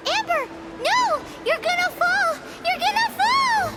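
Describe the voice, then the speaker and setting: A woman voicing a small, excitable, childlike fairy companion with a squeaky voice in a video game. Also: slow pace, music in the background, exaggerated voice. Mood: alarmed. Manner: squeaky, speaks of herself in third person.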